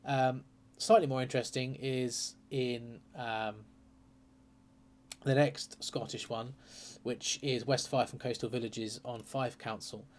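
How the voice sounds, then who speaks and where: Croaky-voiced man chatting through election data. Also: mid-range voice, fairly even intonation, slow pace. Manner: chatty, hesitant, lots of ums and uhs. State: croaky with a sore throat and cold. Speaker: political commentary channel host.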